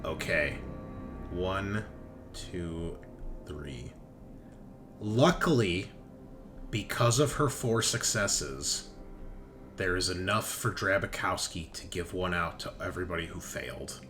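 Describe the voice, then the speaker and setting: A man with a fairly low voice leads a tabletop roleplaying game as game master. He improvises scenes in loose, conversational language. Clear music plays behind him. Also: expressive, slow, pauses often. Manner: casual, conversational, acts out characters' lines. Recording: loud background music.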